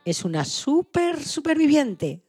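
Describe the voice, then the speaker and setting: A woman's voice: sits high, very animated, takes it slowly, darkish tone, no room sound, soft background music. A woman narrating a scripted children's story. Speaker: co-host of an animal-stories programme for all ages.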